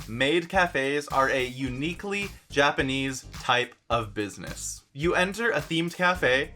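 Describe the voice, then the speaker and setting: A young man telling a travel story in a casual vlog, animated and joking, with dry asides. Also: a little high. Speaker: vlogger.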